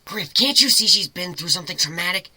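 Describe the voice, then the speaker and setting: Man putting on a bright, tough griffin gal. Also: sits high, animated, a little fast, pitched above the performer's own voice, exaggerated voice. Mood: scolding. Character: casual, tough female griffin, protective friend.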